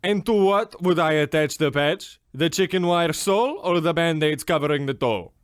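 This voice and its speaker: A man's voice: a little high, normal speed, clear, resonant tone, dry recording, studio quality, close to an everyday voice. A man playing a haughty, blunt and grandiose shoemaker in a radio comedy, talking in a theatrical, pushy salesman's manner. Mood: blunt, exasperated. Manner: pompous, theatrical, swooping delivery. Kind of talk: old-time radio comedy acting.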